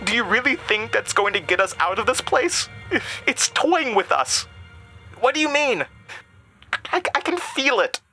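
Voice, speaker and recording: Man playing a panicked, high-pitched schoolboy. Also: big pitch swings, a little slow, sharp tone, faint music behind, exaggerated voice. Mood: anxious, urgent. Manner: urgent, stammering. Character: frightened schoolboys, panicked and urgent. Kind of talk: horror audio drama acting.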